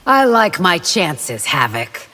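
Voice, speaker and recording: Stern guard in a reverberant hall. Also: female voice, lowish voice, very animated, takes it slowly, raised voice, heavy background noise. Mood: stern resolve. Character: devout, steadfast female palace guard warrior. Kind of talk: video game character dialogue. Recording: long reverb over game music.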